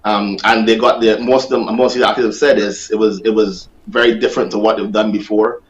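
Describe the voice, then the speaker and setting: A man who coaches athletics, answering interview questions in a measured way, repeating words for emphasis. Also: fairly low pitch, flat delivery, lo-fi sound.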